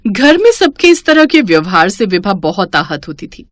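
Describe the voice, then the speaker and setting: A woman's voice: mid-range voice, very animated, normal speed, no echo, clean studio sound. A woman narrates a scripted domestic family story as a storyteller.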